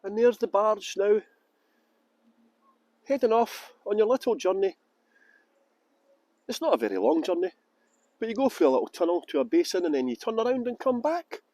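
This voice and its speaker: A man's voice: high voice, big pitch swings, moderate pace, pauses often, a little mellow, no echo. A man from Edinburgh with a Scottish accent, guiding a tour group outdoors with jokey, playful commentary. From Scotland. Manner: jokey, playful mock pronunciations. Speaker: tour guide. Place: outdoors by a canal boat lift.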